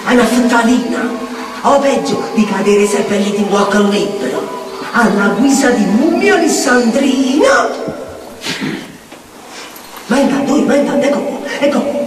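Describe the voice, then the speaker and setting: Casting: woman as sardonic Neapolitan street outcast, theatrical and muttering, highish voice, roomy noisy stage recording. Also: far from the mic, lightly acted. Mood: musing, then scolding, mocking. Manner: rambling, muttering, sardonic, swinging into chant. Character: bitter, mocking, eccentric Neapolitan slum outcast. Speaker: stage actor. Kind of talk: theatrical monologue in dialect.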